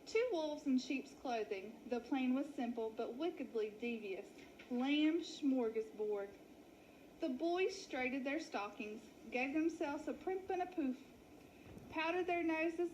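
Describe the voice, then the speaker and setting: A woman's voice: sits high, expressive, unhurried, darkish tone, a little hiss or hum, low-quality recording. A woman narrating a children's story aloud in a theatrical, playful way. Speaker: storybook reader at a school.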